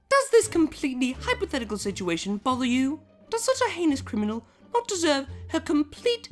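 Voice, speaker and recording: A prim, cultured female pony, played by a woman, speaks high and with sweeping intonation. She is formal and exact, with dry menace under the politeness, as in a comic audio drama over faint music. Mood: polite menace. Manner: formal, precise, drily menacing. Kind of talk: scripted comedy fan audio drama.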